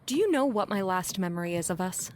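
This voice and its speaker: A woman's voice: fairly low pitch, lively intonation, quick pace, lightly acted. An actress plays a sceptical woman who keeps her guard up with an old lover. She is dry and blunt, sparring in a natural stage-drama delivery. A faint noise sits behind her voice. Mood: guarded, reproachful. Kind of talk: conversational stage-play acting.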